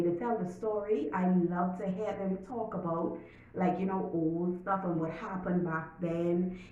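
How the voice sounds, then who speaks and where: A young Bahamian woman talking casually to her viewers in a vlog, chatty and emphatic, sometimes reading from notes. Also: lowish voice. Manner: chatty, emphatic, drawn-out asides. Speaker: YouTuber. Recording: echoey room, mic at a distance, dull sound.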